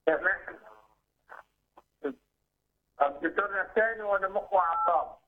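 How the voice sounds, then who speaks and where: A man's voice: sits high, normal speed. A middle-aged man with an Egyptian Arabic accent calling in by telephone to ask a doctor for advice. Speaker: caller seeking advice. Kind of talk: phone consultation. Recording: muffled lo-fi telephone line.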